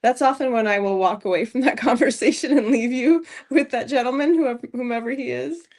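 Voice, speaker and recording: A woman's voice: fairly high pitch, a little fast, a little mellow. A middle-aged woman co-hosting a podcast in casual chat, talking in a chatty, teasing way with lots of "like". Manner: chatty, teasing, says "like" a lot. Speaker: podcast co-host.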